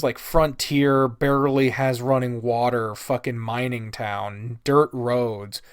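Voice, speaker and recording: A man's voice: mid-range voice, dry recording, studio quality. A man giving a casual, opinionated review rant in a blunt, exasperated, swear-heavy way. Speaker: video host.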